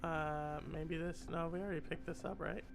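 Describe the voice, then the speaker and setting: A streamer with a raspy, congested voice, chatting with viewers and muttering aloud while playing a game live. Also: mid-range voice, steady tone, normal speed, over music. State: congested from a cold. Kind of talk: live gameplay stream with chat.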